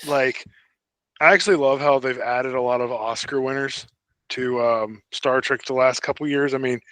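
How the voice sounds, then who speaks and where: A man with a mid-pitched voice co-hosts a casual fan discussion in a very dry recording. His delivery is informal and opinionated. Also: normal speed, fairly bright tone, dry recording. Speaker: podcast co-host.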